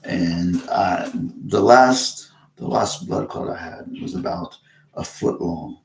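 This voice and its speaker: Deep gravelly man, unhurried halting talk, roomy echo. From Texas. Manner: halting, many restarts and pauses. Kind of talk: casual monologue to camera.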